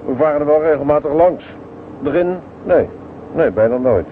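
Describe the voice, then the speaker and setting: A man's voice: on the high side, expressive, moderate pace, natural voice. Man playing a seasoned harbour cop, tinny recording. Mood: matter-of-fact. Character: plain-spoken, seasoned male harbour policeman. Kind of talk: radio drama acting. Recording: tinny, noisy old radio recording.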